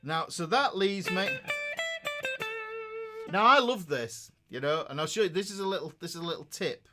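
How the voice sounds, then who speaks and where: Guy with a highish voice explaining guitar stuff casually, guitar loud behind him. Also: lively intonation, moderate pace. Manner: conversational, checks in with listeners. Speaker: guitar teacher. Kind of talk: informal instructional talk with demonstrations.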